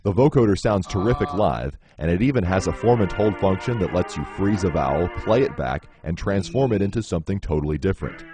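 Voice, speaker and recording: Male product demo narrator, low register, polished and instructional scripted read, clear music bed underneath. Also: expressive, fairly quick, few pauses. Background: synthesizer music.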